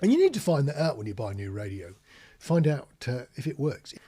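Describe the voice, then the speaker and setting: A man's voice: mid-range voice, very animated, fairly quick, dark tone, slightly rough. A British man giving an informal, practical tutorial, explaining things plainly with anecdotes as an instructor. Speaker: marine radio instructor.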